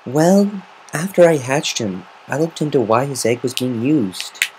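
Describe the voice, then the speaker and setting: An earnest, motherly young female unicorn from a story, acted out by a man. The voice is pushed up in pitch and speaks gently, explaining with care. The homemade recording has a little noise. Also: lively intonation, unhurried, natural voice. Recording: noisy amateur home recording.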